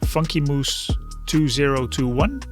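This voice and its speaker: A man's voice: a little high, expressive, measured pace. A man reading a scripted promotional spot as an announcer. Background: music bed.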